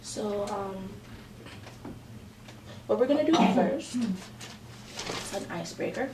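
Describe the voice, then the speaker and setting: A woman's voice: mid-range voice, lively intonation, slow pace. 20ish woman running a campus group, earnest, lots of ums, roomy echo, mic far off. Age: 20s. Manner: earnest, many fillers, prayerful cadence. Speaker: student group facilitator. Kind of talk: leading a student group meeting and prayer. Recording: echoey room, distant mic, background noise.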